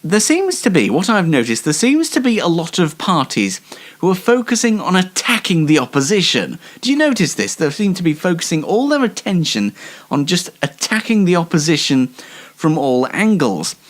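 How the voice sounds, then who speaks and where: A man hosting a casual online show, talking in a halting, self-deprecating and wry way. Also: on the high side, very animated. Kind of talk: casual live show monologue with light news commentary.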